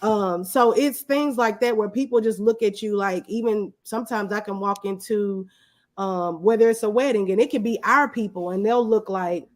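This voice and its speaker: A woman's voice: mid-range voice, normal speed. A woman answering as an interview guest in a conversational, anecdotal way, acting out bits of dialogue as she talks. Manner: anecdotal, acts out quoted exchanges. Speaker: guest, videographer.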